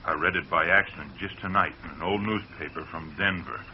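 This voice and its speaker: An actor playing a scheming young farmhand in a 1940s radio drama, urgent and conspiratorial. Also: male voice, low-pitched, fairly quick, slight background noise. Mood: conspiring. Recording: muffled old radio recording.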